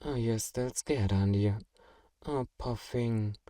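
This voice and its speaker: A man playing a kind, possessive vampire master in an intimate ASMR roleplay, speaking in a gentle, genteel, coaxing and doting way. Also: medium pitch, takes it slowly, no room sound, natural voice. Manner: soothing, coaxing, politely doting.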